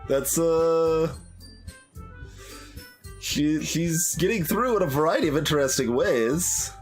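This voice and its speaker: Slow-paced man, casual game streamer. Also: a little high, low-quality recording. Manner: hesitant, rambling, thinking aloud. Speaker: streamer playing a visual novel. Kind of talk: casual live playthrough commentary, reading game text aloud. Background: game music.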